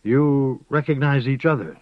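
A man's voice: medium pitch, unhurried, no room sound, voice pushed up, natural voice. A man reading an audiobook, voicing a composed woman who asks cautious, curious questions. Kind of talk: audiobook character voice. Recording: dull, dry lo-fi recording.

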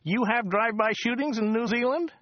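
A man voicing a chatty, excitable driver who rambles, repeats himself and laughs at his own jokes. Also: fairly quick, no room sound, dull audio. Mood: rambling chatter. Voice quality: high, loud and excitable. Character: chatty loud male American taxi driver. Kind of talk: audiobook character voice.

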